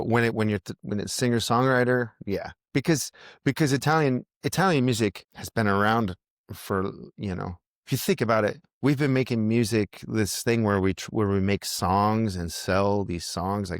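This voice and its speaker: A man's voice: fairly low pitch, normal speed, no room sound, studio quality. An American man hosting a casual podcast conversation, rambling with many restarts and self-corrections. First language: English. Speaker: podcast host.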